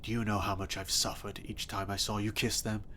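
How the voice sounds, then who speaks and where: A man playing an obsessive, possessive stalker in an audio roleplay, speaking softly and intimately with a threatening edge. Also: on the low side, little pitch movement, brisk, a little hiss or hum, natural voice. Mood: cold menace. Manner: soft, intimate and menacing, bursting into threats. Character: obsessive, possessive male stalker kidnapper, adult.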